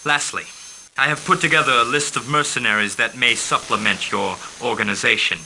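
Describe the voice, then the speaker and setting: A smooth formal mercenary liaison. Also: male voice, medium pitch, slight background noise, low-quality recording. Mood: composed. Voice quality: calm, formal, measured. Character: suave male mercenary liaison giving briefings. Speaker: mission handler. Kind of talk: scripted game briefing.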